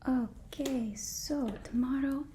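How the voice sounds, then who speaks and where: A woman whispering an ASMR roleplay as a deferential, flattering personal assistant, often repeating her words softly and hesitantly. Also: high voice, slow, noisy background. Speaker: ASMR performer.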